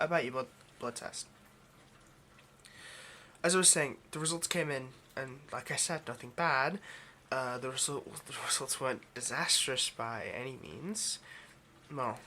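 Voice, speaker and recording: A man's voice: medium pitch, pushed and bright, a little hiss or hum. A man playing a nervous, obsessive vampire lab technician in an intimate ASMR roleplay, with hesitant, stammering, overly polite delivery. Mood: eager, flustered.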